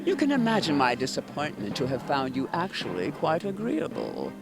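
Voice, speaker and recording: A man voicing an ominous eldritch god in a video game, a stern elder uncle speaking formally and deliberately with condescending menace. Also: on the high side, wildly swooping pitch, creaky, exaggerated voice. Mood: quietly threatening. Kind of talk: theatrical video game villain monologue. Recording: music behind the voice.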